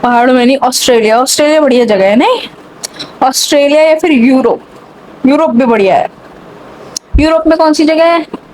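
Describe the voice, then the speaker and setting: A woman's voice: high-pitched, brisk, long pauses, darkish tone, a little hiss or hum, rough recording. A young woman vlogger ranting casually to camera in a sarcastic, chatty way, with a mixed English accent she picked up online.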